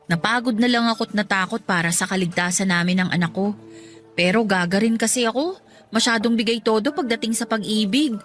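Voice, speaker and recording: A woman's voice: medium pitch, close to an everyday voice. Actress playing a chatty, caring Filipina single mother. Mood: rueful, self-mocking. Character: warm, self-deprecating single mother consoling her best friend. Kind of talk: Filipino radio drama dialogue acting.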